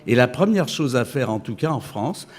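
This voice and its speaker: A man's voice: mid-range voice, lively intonation, normal speed. A male economist on a conference panel, answering firmly and insistently, repeating his points. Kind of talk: panel debate. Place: conference amphitheater. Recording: long hall reverb.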